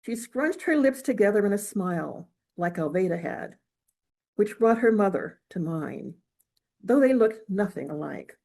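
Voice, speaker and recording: Woman reading her novel out loud, kinda croaky n mellow, takes her time telling it. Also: mid-range voice, animated, a little slow. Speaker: author reading from own novel. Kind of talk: audiobook-style literary reading.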